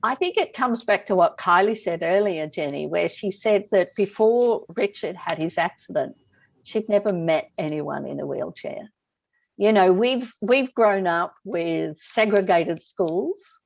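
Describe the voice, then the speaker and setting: An Australian woman in her sixties, a guest on a video call chat, explaining her points in a thoughtful, measured way. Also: fairly low pitch, expressive, creaky. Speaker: guest speaker. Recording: dull, dry video-call audio.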